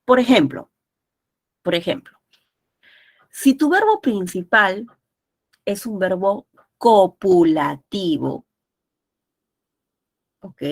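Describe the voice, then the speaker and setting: A woman teaching an online class with a nose congested from a cold, explaining didactically and often checking understanding. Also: lowish voice. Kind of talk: explanatory lecture with frequent check-in questions.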